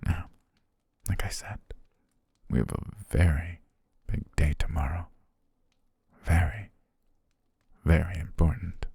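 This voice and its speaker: A man performs an obsessive, doting butler in an intimate ASMR romance. His voice is dark, deep and very husky, often sinking toward a whisper. He coaxes tenderly with lingering pauses and a soft menace, close on the mic. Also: no room sound, full character voice. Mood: calm controlling. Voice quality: breathy, husky, gravelly, often near whisper. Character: obsessive, doting male butler, softly menacing captor-fiancé. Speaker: ASMR roleplay voice actor. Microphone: close, dry studio microphone.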